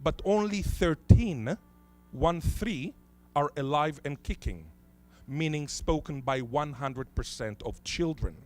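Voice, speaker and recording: A man whose first language is Hebrew lectures at a conference, his voice sitting a little high for a man. He poses rhetorical questions and leans on key words. Faint music runs beneath the talk. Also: animated, a little mellow. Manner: rhetorical questions, deliberate emphasis. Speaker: conference speaker.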